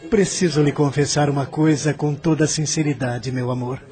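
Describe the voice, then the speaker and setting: A man's voice: on the high side, fairly even intonation, normal speed, darkish tone, faint music behind, natural voice. An actor playing an earnest, awestruck young man devoted to his bride-to-be, in a radio drama. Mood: awed.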